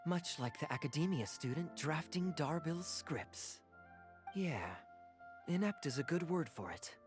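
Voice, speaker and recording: Suave young game adventurer, playful n philosophical, really animated, music behind. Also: male voice, on the high side, a little slow. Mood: wry, musing. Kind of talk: video game voice acting.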